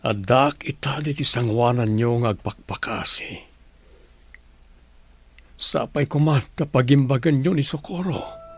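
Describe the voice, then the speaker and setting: A man's voice: medium pitch, measured pace, long pauses, breathy edge, soft background music. A man with an Ilocano accent acting an anguished, remorseful husband in a radio drama, in a pleading manner. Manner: pleading, prayerful. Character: anguished, remorseful husband praying for his sick wife.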